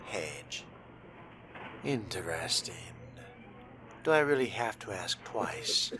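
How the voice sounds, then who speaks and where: A man's voice: deep voice, sing-song, slow pace, music in the background. A man role-playing through a game in character, reading and commenting in a formal, arch, often murmured way. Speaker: game player narrating in character.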